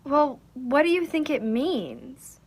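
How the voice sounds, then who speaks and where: High female voice, prickly theatrical actress played by a woman, slow, very lively pitch, radio drama. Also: full character voice. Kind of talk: 1940s radio play acting.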